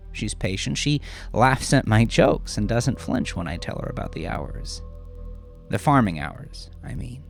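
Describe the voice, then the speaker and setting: A man narrating a horror story in the first person, with a brooding, halting, intense confessional delivery. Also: medium pitch, gravelly, fairly bright tone. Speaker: narrator and author.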